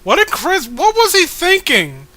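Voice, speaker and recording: High-voiced, bright, sardonic man. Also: huge pitch swings, unhurried. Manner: crude, sardonic. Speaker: co-host reading a comic aloud. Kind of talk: mocking casual commentary and comic read-along.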